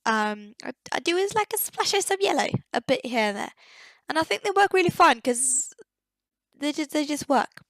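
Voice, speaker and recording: Young woman, higher voice, dry close sound, slight croak; hesitant casual commentary. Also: expressive, normal speed, a bit bright, dry recording. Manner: hesitant, searching for words, many fillers. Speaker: game builder. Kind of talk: casual voice-over commentary on a game build.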